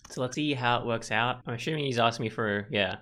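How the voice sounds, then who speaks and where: A young man records casual gaming commentary. His voice is bright and crisp-edged at an average male pitch. He shifts between reading text aloud and informal, musing asides. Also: fast talker. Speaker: gaming video host.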